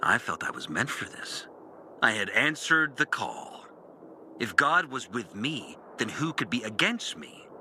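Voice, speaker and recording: An actor playing a bitter, disillusioned former priest in a video game, speaking a brooding, deliberate confessional monologue with dramatic pauses. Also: male voice, mid-range voice, very animated, pushed and bright, a little hiss or hum, heavily acted. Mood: brooding, reflective. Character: disillusioned former priest, bitter and intense, recounting his lost faith. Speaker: character narrating an audio journal.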